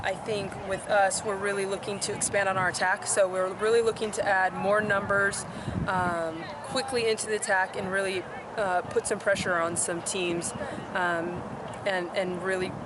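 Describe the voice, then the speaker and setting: A woman, a soccer coach, giving an informal interview. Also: mid-range voice. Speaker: women's soccer head coach. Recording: outdoor ambience, clear background noise.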